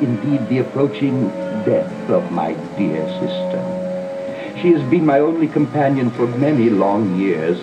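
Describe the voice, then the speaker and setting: A man playing a sickly, melancholy old aristocrat in a gothic audio drama, halting and theatrical, recorded on an old cassette. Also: lowish voice. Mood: grave, pleading. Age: older. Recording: dull old cassette dub with music. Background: music.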